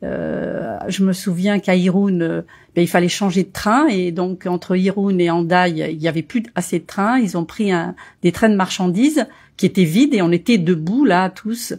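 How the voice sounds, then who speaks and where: A woman's voice: on the low side, moderate pace, slightly rough. A woman from Portugal whose first language is Portuguese, a guest telling her story in a casual interview with frequent hesitations. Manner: hesitant, many fillers, warm storytelling. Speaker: association member, guest.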